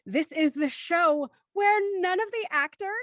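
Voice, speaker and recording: A woman's voice: extremely high pitch, a little slow, fairly bright tone, dry recording, clean studio sound. A woman hosting an online show, chatting and introducing a cast with bubbly enthusiasm. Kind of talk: livestream hosting, chatty banter.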